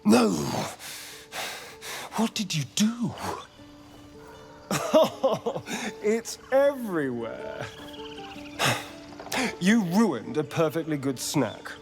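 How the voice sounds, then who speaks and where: An actor playing a sly, sardonic vampire rogue in a video game, speaking in an arch, theatrical drawl. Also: male voice, on the high side, sing-song, takes it slowly, vocal fry, pauses often, darkish tone, full character voice. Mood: indignant. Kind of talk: dramatic game character acting. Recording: music behind the voice.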